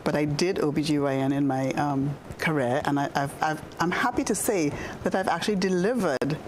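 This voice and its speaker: A woman in her sixties from the Bahamas, a guest doctor telling her story in a studio interview, unhurried, with many fillers and restarts. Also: low voice, darkish tone, a little hiss or hum. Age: 60s. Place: television studio.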